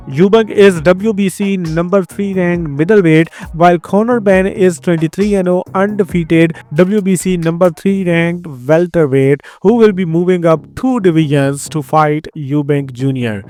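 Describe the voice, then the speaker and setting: A man's voice: fairly high pitch, a little mellow. A man with an Indian accent hosting an upbeat, scripted rundown of fight news. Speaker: host. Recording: faint background music.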